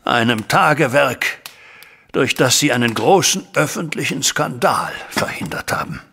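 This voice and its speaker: Raspy, troubled older gentleman. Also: mid-range voice, animated, a little slow, exaggerated voice. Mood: moved, regretful. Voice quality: gravelly, raspy. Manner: formal, emphatic. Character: older gentleman father, troubled, formal and grateful client. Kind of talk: German audio drama acting.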